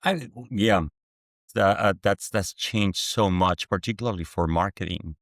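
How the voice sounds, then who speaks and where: Mexican guy in his 40s, english not his first language, low gravelly voice, lots of restarts and fillers. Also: no room sound, clean studio sound. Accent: Mexican Spanish. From Mexico City. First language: Spanish. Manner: halting, many restarts and fillers. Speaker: guest founder. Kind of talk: conversational interview.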